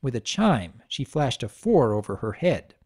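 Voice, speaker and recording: A woman with a low voice narrates a story as an expressive dramatic reading. Her delivery rises and falls widely, carrying the scene along. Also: a little slow, slightly rough.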